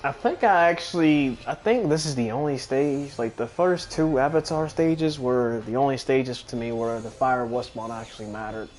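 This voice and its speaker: A young man talks through a video game as he plays, in a mid-pitched voice. His commentary is casual, chatty and peppered with swearing. Game music runs faintly behind him. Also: normal speed, few pauses, a little mellow. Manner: profane, offhand, chatty. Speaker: gaming video host.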